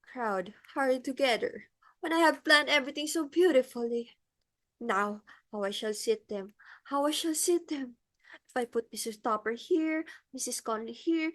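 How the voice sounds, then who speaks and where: A woman's voice: sits high, normal speed, fairly bright tone. A woman playing a flustered, imperious society mother in a stage comedy, with emphatic, theatrical exclamations. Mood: harried, vexed. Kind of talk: comedic stage-play acting.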